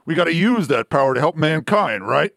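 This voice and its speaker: Man acting gravelly rock monster, grumbling tough guy, swooping pitch, dry sound, comic-book radio play. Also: animated, fairly quick, a bit bright, no echo. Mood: surly. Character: gruff, surly, brawny male rock monster hero.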